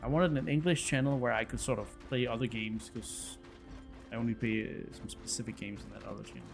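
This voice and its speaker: A man's voice: medium pitch, steady tone, a little fast, creaky, over music. A man in his thirties from Denmark, a native Danish speaker with a Danish accent, casually chatting with viewers as a game streamer, hesitant and often repeating words. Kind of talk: casual chat with viewers while playing.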